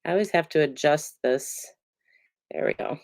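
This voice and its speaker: A woman with a low voice talks through a card-making demo in a casual, rambling way, filling gaps with ums as she works. She sounds a bit congested from a lingering cold. The recording is close and dry. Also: moderate pace, dry recording. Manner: chatty, relaxed, many ums, talks while working. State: congested, getting over a cold. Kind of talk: casual crafting tutorial.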